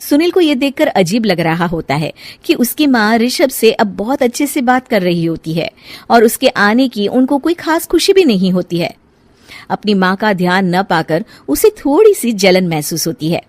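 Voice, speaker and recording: A woman's voice: mid-range voice, very animated, moderate pace, a little mellow, dry recording. A woman storyteller reading scripted narration for a cartoon family story.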